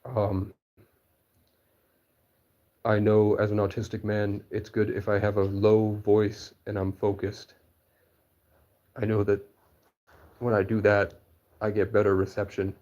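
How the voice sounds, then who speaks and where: A man's voice: fairly low pitch, monotone, a little slow, pauses often, dark tone, muffled recording. A man being interviewed, talking in a rambling way that is full of fillers and restarts. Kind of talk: rambling candid chat.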